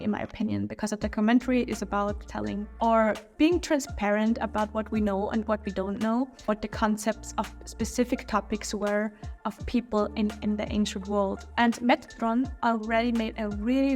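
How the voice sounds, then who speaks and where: A woman's voice: mid-range voice, darkish tone. A woman whose first language is German speaks English with a German accent, hosting a history channel in a casual, opinionated video review with small slips and restarts.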